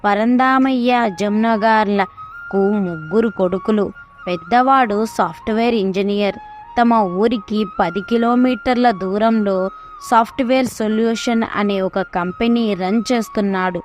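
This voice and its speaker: A woman's voice: medium pitch, unhurried. A woman narrating a scripted story, with chatty asides to the listener. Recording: music behind the voice. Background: background music.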